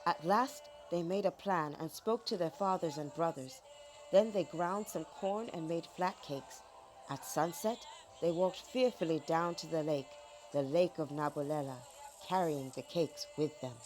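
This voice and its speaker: Low-pitched woman telling a folk tale. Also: measured pace, a little mellow, faint music behind. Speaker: storyteller. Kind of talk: scripted children's folk tale narration.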